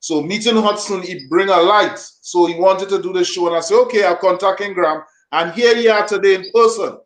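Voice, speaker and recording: A man's voice: high voice, fairly quick, a bit bright. A man speaking as a guest on a talk show, rambling with many fillers. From Grenada. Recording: roomy echo.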